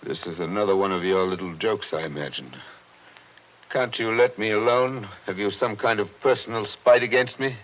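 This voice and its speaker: A man's voice: lowish voice, normal speed, lightly acted. A man playing a haunted, embittered man, brooding and wounded, in a 1940s radio drama. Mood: wounded, disbelieving. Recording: dull, hissy 1940s radio recording.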